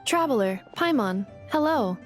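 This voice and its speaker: A woman voicing a polite, composed young robot assistant in a video game, explaining things in a formal, measured, matter-of-fact way. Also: on the high side, lively intonation. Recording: faint music behind the voice.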